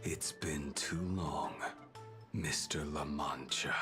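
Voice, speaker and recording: An actor playing a grim, brooding male swordsman in a game, with a hushed, breathy voice. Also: very deep voice, steady tone, slow pace, over music.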